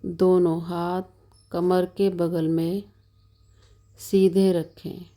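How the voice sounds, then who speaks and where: A woman leading a guided yoga nidra meditation as the instructor, giving calm, measured, soothing instructions with long pauses between them. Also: lowish voice. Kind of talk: slow guided meditation narration.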